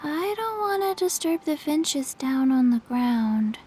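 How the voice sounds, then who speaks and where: A woman playing a solemn, gentle little undead girl in a video game, with halting, deadpan, childlike delivery. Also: high-pitched, heavily acted. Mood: flat, earnest. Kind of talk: English video game voice acting. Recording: music behind the voice. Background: soft lullaby-like music.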